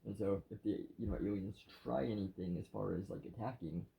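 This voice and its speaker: A man speaks in a lowish voice with a very dark, relaxed tone. There is clear fry in it. He chats casually, agreeable but blunt and plainspoken. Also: fairly quick, a little hiss or hum, rough recording. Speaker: vlogger's husband, devotional reader. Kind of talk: casual religious chat.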